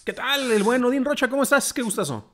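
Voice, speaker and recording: A Mexican man with a high-pitched voice hosts a casual live show. He sounds about thirty-something, commenting in a colloquial, somewhat halting way. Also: lively intonation, a little hiss or hum. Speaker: host. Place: small home room, indoors.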